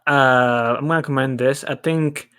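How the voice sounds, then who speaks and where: Male podcast co-host with a mid-range voice, wry and a little halting in informal conversation. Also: mid-range voice, fairly even intonation, a bit bright, clean tone. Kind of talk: casual chat.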